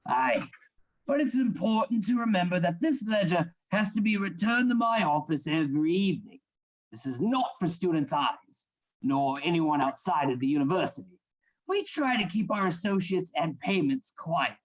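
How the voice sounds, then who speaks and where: An actor playing a stern, secretive anatomy lecturer in a radio play, formal and instructing. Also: male voice, high-pitched, lively intonation, normal speed, poor audio. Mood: businesslike.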